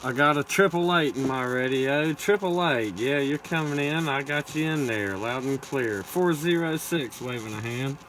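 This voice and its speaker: A man's voice: mid-range voice, normal speed, hardly any pauses. American man calling over heavy radio noise. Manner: repetitive formulaic call-outs. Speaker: amateur CB radio operator. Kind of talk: CB radio calls with operator jargon. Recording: very noisy radio shack, loud static. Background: loud radio static and noise.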